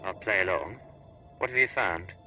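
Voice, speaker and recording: An actor playing a cool, confident secret agent in a video game, terse and smoothly self-assured. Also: male voice, deep voice, normal speed, bright, forceful tone, faint music behind. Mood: businesslike.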